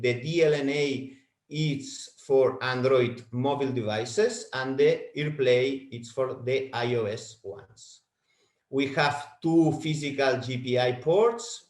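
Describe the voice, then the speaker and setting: A man whose first language is Spanish, presenting a product webinar from home in halting, self-correcting English. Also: mid-range voice, reverberant.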